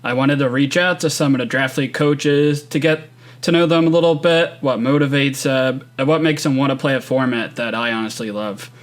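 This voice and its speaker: A man's voice: medium pitch, a little fast. Easygoing young male interviewer. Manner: easygoing, affirming. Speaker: host, interviewer. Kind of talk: casual online interview.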